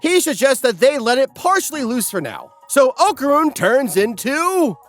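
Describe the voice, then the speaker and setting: A man's voice: very high-pitched, sing-song, moderate pace, a bit bright. A man narrating an anime parody recap as scripted comedy, mock-dramatic and wry. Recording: music behind the voice.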